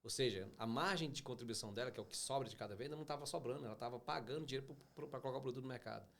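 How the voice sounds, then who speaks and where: A man's voice: mid-range voice, creaky. A man working as a financial consultant, explaining client cases as a podcast guest in casual conversation. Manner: fast, explanatory, frequent restarts. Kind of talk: casual interview chat.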